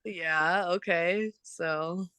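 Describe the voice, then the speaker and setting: A woman's voice: lowish voice, drawn-out words, bright, forceful tone, clear, resonant tone, no echo. A woman in her thirties, a podcast guest chatting casually and laughing often as she tells stories. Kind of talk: casual chat.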